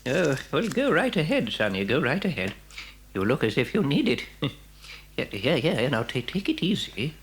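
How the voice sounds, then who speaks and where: A woman's voice: deep voice, sing-song, moderate pace. A woman playing a kindly, fussing shopkeeper who stammers and repeats words in an audio drama for children. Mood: kindly, chatty. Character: kindly, fussing shopkeeper serving a small boy.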